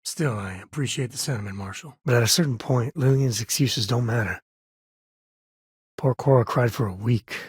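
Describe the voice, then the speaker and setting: A man playing a roguish, good-hearted space-faring ex-ranger and devoted father in a video game, speaking in a casual, wry, conversational way with a rough edge to the voice. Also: medium pitch, moderate pace, no echo, studio quality. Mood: weary, earnest. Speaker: video game companion character.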